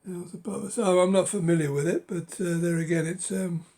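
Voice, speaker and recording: A middle-aged man with a cold, speaking hoarsely and haltingly in a casual show-and-tell of his books, often dropping to a whisper. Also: high-pitched, fairly even intonation, mellow. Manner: halting, many ums and uhs, drops to a whisper. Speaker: book collector vlogger.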